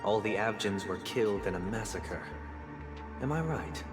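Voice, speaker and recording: An actor playing a composed, fastidious and authoritarian inquisitor in a video game, speaking in a formal, measured, sanctimonious way. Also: male voice, lowish voice, lively intonation, lightly acted. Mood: cool interrogation. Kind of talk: dramatic video game voice acting. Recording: long hall reverb under loud music.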